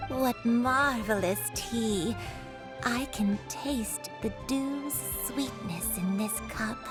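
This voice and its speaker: A woman voicing a serene, dreamy, nature-loving character in a video game, calm and contemplative in delivery. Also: on the high side, very slow. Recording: music under the voice.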